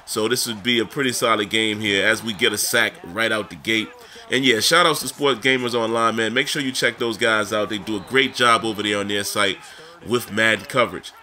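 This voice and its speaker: A man hosting a gameplay video with casual commentary addressed to viewers, his voice scratchy and raspy from being under the weather. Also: mid-range voice, pushed and bright. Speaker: gaming video host.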